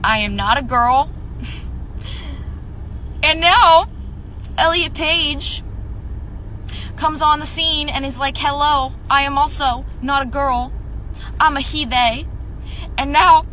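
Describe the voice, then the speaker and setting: High, bright, self-mocking twenty-something. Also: female voice, lively intonation, slow, pauses often, slight background noise, low-quality recording. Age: 20s. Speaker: TikTok creator. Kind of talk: casual storytime to camera.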